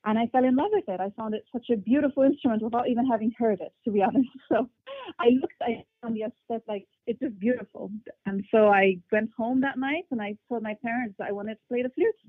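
A woman's voice: a little high, quick pace, dry recording. A young adult woman with a mixed international English accent, answering interview questions thoughtfully, with fillers and restarts. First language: English and Portuguese. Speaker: flautist guest. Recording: tinny phone-like line.

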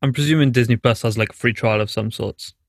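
A young man co-hosting a podcast, chatting agreeably with quick asides. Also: mid-range voice, no room sound, clean studio sound. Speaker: podcast co-host. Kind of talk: casual chat.

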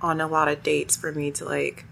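A young woman with a high, bright voice talks as a podcast guest. She chats freely and laughs often, and she tends to trail off mid-thought. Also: monotone, moderate pace, vocal fry, a little hiss or hum. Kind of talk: casual podcast conversation.

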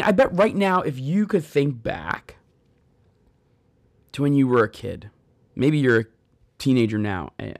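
An earnest man in his thirties talks through scripture in a conversational way, turning to the listener with stories from his own life. His voice sits a bit high and carries a gravelly fry. The sound is dry, with no room echo. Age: 30s. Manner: addresses listeners directly. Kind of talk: devotional podcast talk on scripture.

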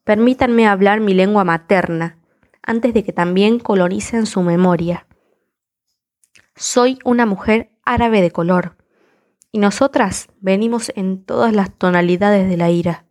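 A woman's voice: mid-range voice, a little slow, a little mellow. A woman reciting spoken word poetry in a defiant, impassioned, rhythmic delivery.

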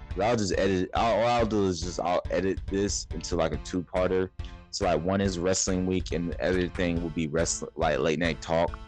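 A man's voice: low-pitched, fairly even intonation, normal speed, darkish tone, faint music behind. A young man hosting a podcast, chatting casually and rambling, often restarting his sentences. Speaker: podcast host. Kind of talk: casual chat.

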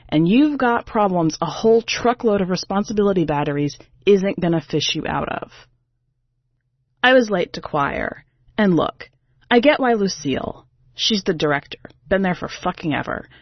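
A woman's voice: medium pitch, dry recording, lo-fi sound. A woman narrating a short story as a brash, defensive, sarcastic female singer, in a wry, exasperated, conversational way.

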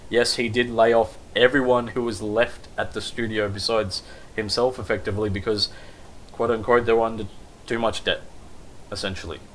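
A man with a lowish voice talks through a news recap in a loose, rambling way, leaning on fillers. A faint hum of background noise sits under him. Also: fairly bright tone, rough recording. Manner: rambling, many fillers like basically and kind of. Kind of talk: casual news commentary.

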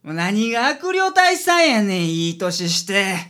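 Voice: mid-range voice, very animated, a little slow, bright, forceful tone. An actor playing a blunt, self-assured male detective with a Kansai accent in a radio drama. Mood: annoyed.

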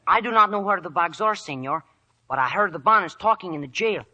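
Bright-voiced young Mexican villager. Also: male voice, high-pitched, expressive, fairly quick, dull audio, exaggerated voice. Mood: polite, helpful. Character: young Mexican villager, the storekeeper's helpful child. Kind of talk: 1940s radio acting.

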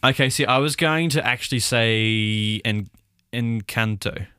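A man's voice: mid-range voice, unhurried, pushed and bright, clear, resonant tone, no room sound, studio quality. A young man co-hosting a podcast, a little chesty from a cold, chatting and laughing often. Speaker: podcast co-host. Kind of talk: casual chat.

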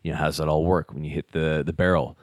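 A man who reviews firearms, talking in an animated, casual chat, swearing and walking through things step by step. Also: extremely low pitch, fast talker, no echo, studio quality. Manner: animated, swears, says "dude", explains step by step. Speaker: gun reviewer.

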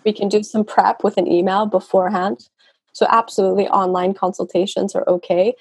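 A woman's voice: mid-range voice, flat delivery, fairly quick, slightly rough, no echo. A woman speaks as a podcast guest over a video call, in an easy, rambling conversational way with plenty of fillers. Her voice sits mid-range and sounds close and dry. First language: English. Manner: warm, conversational, many fillers and restarts. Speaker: guest, nutrition consultant.

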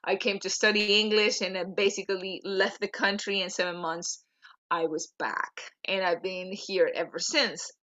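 A woman's voice: medium pitch, little pitch movement, normal speed, bright, forceful tone, highs cut off. A woman from Venezuela, a native Spanish speaker with a Venezuelan accent, chatting as a podcast guest. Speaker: podcast guest, LinkedIn coach. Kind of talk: conversational interview answers.

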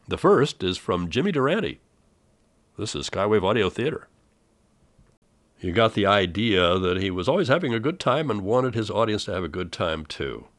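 A man's voice: deep voice, a bit bright, no room sound. A man hosting a radio program with scripted commentary, introducing vintage broadcasts. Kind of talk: relaxed scripted commentary on old-time radio.

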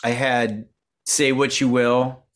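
A middle-aged man from Minnesota chats as a guest on an interview, his voice sitting at an ordinary middle pitch. He rambles with lots of "um"s and restarts. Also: level tone, slow, a bit bright. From Minnesota, USA. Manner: rambling, many fillers and restarts. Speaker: guest musician. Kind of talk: casual interview talk.

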